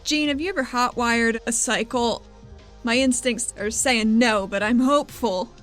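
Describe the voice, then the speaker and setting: A woman's voice: on the high side, measured pace, a bit bright, soft background music. Woman playing Athena, a brash, impatient agent. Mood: wry. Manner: blunt, casual, wry. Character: Athena, tall female badge-carrying agent, brash and impatient.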